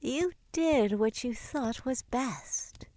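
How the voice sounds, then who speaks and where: A woman's voice: on the high side, expressive, slow, warm tone, no echo. A woman playing a fond, motherly old friend in a visual novel, wry and affectionate in manner. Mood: gentle, wistful.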